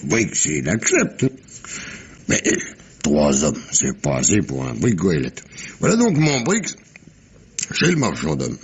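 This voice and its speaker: An actor playing a very old, sly sailor in a French radio mystery drama, with a husky, wheezy voice and a halting storyteller's delivery. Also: male voice, rumbling low, huge pitch swings, full character voice. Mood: animated, conspiratorial. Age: 80s. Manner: halting, chuckling, salty storyteller with hums and asides.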